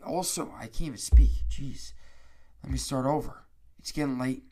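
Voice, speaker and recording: A man talks casually on his solo sports podcast at a middling pitch. His nose is stuffed up and his throat has gone raspy from a cold. He rambles, stumbles over his words and starts his sentences over. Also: normal speed, mellow. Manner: rambling, restarts often.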